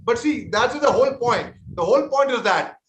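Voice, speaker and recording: A man's voice: very high voice, animated, brisk, roomy sound, a little hiss or hum. A man in his forties with an Indian accent, an army veteran speaking as a panelist in a televised debate, earnest and conciliatory, often repeating and restarting his words.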